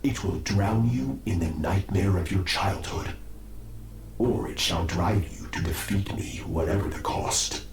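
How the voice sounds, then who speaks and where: Slow, echoing, cruel dark master. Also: male voice, sits low, slight background noise. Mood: grave, menacing. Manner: slow, solemn, commanding. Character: cruel, imperious dark master training a child. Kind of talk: dramatic video game voice acting. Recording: echoey game audio with music behind.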